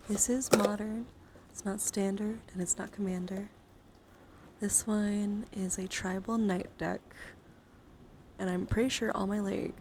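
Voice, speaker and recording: A woman hosts a casual livestream in a chatty, rambling way, dropping swear words easily. Her voice sits at an average pitch and crackles often with creaky fry. There is some noise in the recording behind her. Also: a little mellow. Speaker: livestream host. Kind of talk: casual livestream chat showing a card collection. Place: indoors, livestream with handheld camera.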